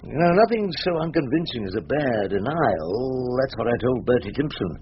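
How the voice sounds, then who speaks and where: An actor playing a wry, eloquent older English barrister in a British drama, speaking in a literary, ponderous way with hums and pauses. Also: male voice, medium pitch, big pitch swings, lightly acted. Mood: dry, teasing. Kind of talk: British courtroom radio drama acting. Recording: dull lo-fi drama recording, light hiss.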